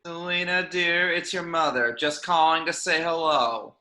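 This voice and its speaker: A man's voice: fairly high pitch, fairly even intonation, measured pace, pushed and bright. A man voicing a nagging, disappointed older mother leaving a phone message in a table read. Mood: sweetly intrusive. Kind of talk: amateur table read acting. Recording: echoey room, home microphone.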